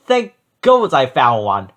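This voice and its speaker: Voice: mid-range voice, wildly swooping pitch, takes it slowly, a bit bright, full character voice. A storybook reader voicing a nervous, eager little goat. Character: Bumsy, jumpy, nervous young male storybook goat.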